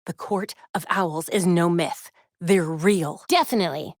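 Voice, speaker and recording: A woman's voice: fairly low pitch, a little slow, no echo, clean studio sound. A woman playing a determined, wry young female vigilante superhero in a video game, speaking in a focused, conversational way. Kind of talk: video game character dialogue lines.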